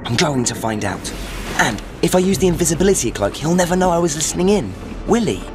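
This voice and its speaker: Stubborn british teen boy wizard, animated pitch, game dialogue over music. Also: quick pace. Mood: suspicious, insistent. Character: earnest, suspicious, stubborn teenage boy wizard. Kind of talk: video game voice acting. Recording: loud music behind the voice.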